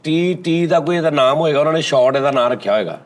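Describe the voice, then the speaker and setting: A man's voice: a little high, lively intonation, fast talker. A man hosting a casual group chat, asking questions and explaining things as he goes. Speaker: show host.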